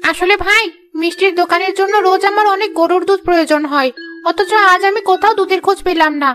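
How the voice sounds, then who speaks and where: A woman's voice: very high voice, steady tone, quick pace, a bit bright, faint music behind. A woman voicing an earnest, entreating village woman in a cartoon fairy tale. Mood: pleading. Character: pleading village sweet-shop woman and a dutiful young daughter.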